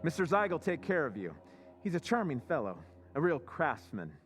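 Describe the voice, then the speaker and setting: An actor playing a smug, self-assured scheming husband in an old-time radio drama recreation. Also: male voice, a little high, sing-song, moderate pace, in a large hall, faint music behind.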